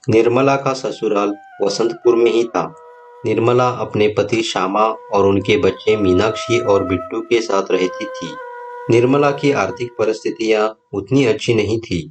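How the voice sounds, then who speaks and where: A man's voice: fairly low pitch, steady tone, normal speed. A man narrating a scripted moral story as its storyteller. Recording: music bed behind the voice.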